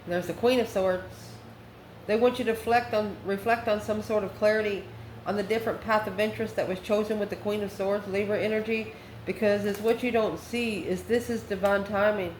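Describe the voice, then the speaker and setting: A woman's voice: mid-range voice, steady tone, brisk, a little mellow. A woman giving a casual, spontaneous tarot reading, talking straight to the viewer in a conversational, exclamatory way. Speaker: tarot card reader. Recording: echoey room with faint background noise.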